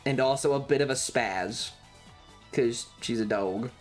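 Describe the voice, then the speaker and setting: A man's voice: medium pitch, measured pace, faint music behind. A man telling a story casually on a livestream, rambling and swearing in an exasperated way, acting out bits of dialogue as he goes. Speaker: streamer.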